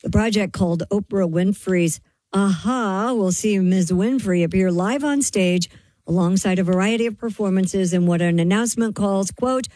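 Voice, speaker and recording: A woman's voice: mid-range voice, moderate pace, warm tone, no echo. A woman co-hosting a talk show, chatting casually and reading out entertainment news.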